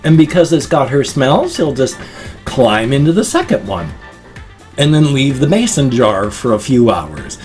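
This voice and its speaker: A man's voice: medium pitch, big pitch swings, a little slow, a little mellow, faint music behind. A middle-aged American man chatting casually and off the cuff in a rambling, dorky vlog manner. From United States. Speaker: vlogger. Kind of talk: casual unscripted vlog chat.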